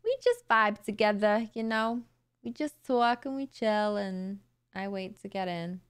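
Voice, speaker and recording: A young woman streaming from her room late at night, tired and a little raspy, chatting casually and thanking viewers on a close studio mic. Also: medium pitch, animated, unhurried. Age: young adult. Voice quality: slightly raspy today. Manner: chatty, bubbly, laughs easily. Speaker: live streamer. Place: home streaming room, indoors. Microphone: boom studio mic close to mouth.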